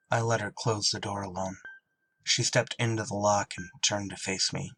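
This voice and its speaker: An actor playing a somber, haunted shuttle pilot in an audio drama, grave and restrained. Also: male voice, fairly low pitch, creaky, fairly bright tone, a little hiss or hum, low-quality recording. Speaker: lead actor and narrator.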